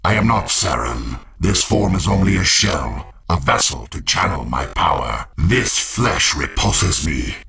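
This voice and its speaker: An actor playing an imperious machine overlord speaking through a possessed body in a video game, harsh and disdainful in a declamatory style. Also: male voice, deep voice, sing-song, a little slow, gravelly, few pauses, a crowd in the background, exaggerated voice. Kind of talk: dramatic video game acting.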